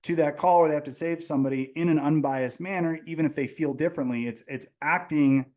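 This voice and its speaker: Man arguing calmly on a podcast. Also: on the high side, quick pace. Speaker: podcast host. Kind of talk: conversational interview.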